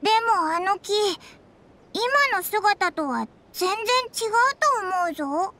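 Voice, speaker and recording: A woman voicing a tiny, blunt, excitable fairy girl in an anime game, with a squeaky childlike voice. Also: slow pace, a little hiss or hum, heavily acted. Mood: indignant, puzzled. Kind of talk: Japanese anime game voice acting.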